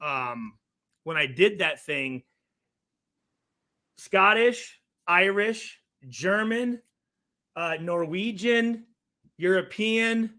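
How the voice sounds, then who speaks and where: Casting: high, bright-voiced man, loose rambling podcast banter, swears freely. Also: expressive, very slow, long pauses. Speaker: podcast co-host. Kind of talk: casual chat.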